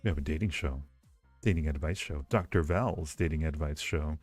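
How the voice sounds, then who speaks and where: A man's voice: a bass voice, moderate pace, darkish tone. A man hosting a livestream, chatting casually with viewers in a playful, flirty way and often repeating his phrases. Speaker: livestream host. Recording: roomy echo, faint music behind.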